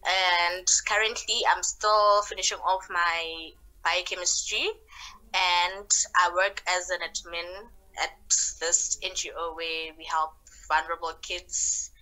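Young woman, lowish voice, slow and calm, bright tone, on a video call. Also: rough recording. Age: 20s. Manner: calm, matter-of-fact. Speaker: guest. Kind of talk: casual video-call introduction. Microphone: laptop or phone on video call.

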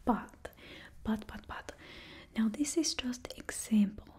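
Slow breathy whispering woman, put-on Russian accent. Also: a little high, darkish tone. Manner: close, slow whispering with drawn-out words. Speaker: ASMR roleplay stylist. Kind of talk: ASMR whispered roleplay. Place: indoors before a studio backdrop.

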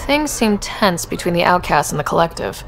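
An actress playing a young, direct space explorer in a video game, with a casual, inquisitive, wry way of talking. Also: female voice, fairly low pitch, sing-song, moderate pace, a little hiss or hum. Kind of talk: scripted game dialogue.

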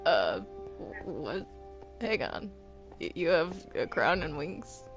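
A gamer chatting casually during a video game roleplay, excitable and stammering, often repeating words. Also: mid-range voice, slow pace, soft background music, low-quality recording. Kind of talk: casual gameplay chat during Minecraft roleplay.

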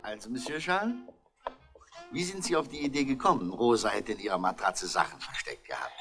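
An actor playing a shrewd, unhurried police inspector with a dryly probing, polite manner in a 1960s radio crime drama. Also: male voice, lowish voice, lively intonation, a bit bright, soft background music.

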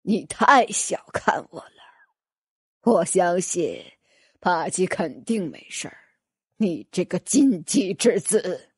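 A woman's voice: lowish voice, animated, slightly rough, dry recording, clean studio sound, voice pushed down, heavily acted. A woman voicing a menacing, vengeful male villain in an audiobook, drawing out cold threats. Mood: menacing, gloating. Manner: slow, drawn-out threats. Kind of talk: audiobook character acting.

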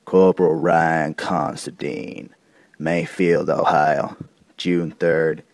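An actor playing a grim, weathered army corporal of 1880s America, telling his account in a deliberate, folksy, gravelly way for an audio drama. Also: a bass voice, level tone, dry recording, heavily acted. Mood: grim, wry. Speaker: narrator of a soldier's account. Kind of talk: dramatic first-person audio drama monologue.

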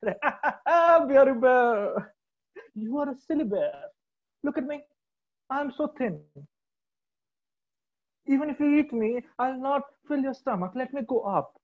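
A woman's voice: fairly high pitch, measured pace, highs cut off. A woman voicing a thin, clever old lady in a children's story, coaxing and pleading with the animals.

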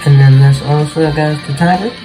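A man's voice: on the high side, steady tone, moderate pace, low-quality recording. A young male gaming host in casual commentary, with a laid-back, slangy way of talking. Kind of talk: casual gameplay commentary, reading cutscene dialogue aloud. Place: small bedroom, indoors. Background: game music.

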